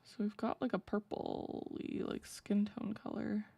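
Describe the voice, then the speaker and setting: A young woman with a low voice chatting casually and rambling with lots of fillers while she draws on a live stream. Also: level tone, a little slow, gravelly, mellow, highs cut off. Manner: rambling, filler-heavy, laughs easily. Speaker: streamer drawing fanart.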